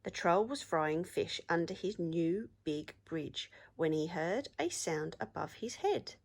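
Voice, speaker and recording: A woman teacher reading a story aloud to children in an expressive storytelling style. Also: on the low side, slow, gravelly.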